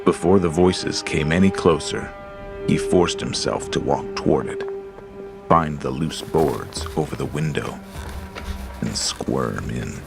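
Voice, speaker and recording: A man narrating a scripted horror story in a measured, ominous way. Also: very deep voice, creaky. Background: creepy music.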